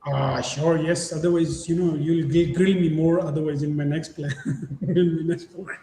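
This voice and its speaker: A middle-aged man hosts the conversation in a high voice, stumbling through restarts and fillers and breaking into laughs. He sounds like he's in an echoey room with a faint hum of ambience behind him. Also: fairly even intonation, dark tone. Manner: halting, many restarts and fillers, laughs often. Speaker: podcast host. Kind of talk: casual interview chat.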